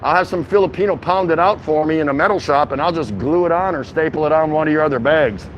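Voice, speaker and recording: Higher-voiced man chatting on a livestream walk. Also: quick pace, rough recording. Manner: easygoing, rambling, slangy, addresses viewers by name. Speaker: vlogger. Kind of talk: casual livestream walking-tour chat. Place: outdoors, walking through a city shopping district. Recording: outdoor city noise, passing traffic.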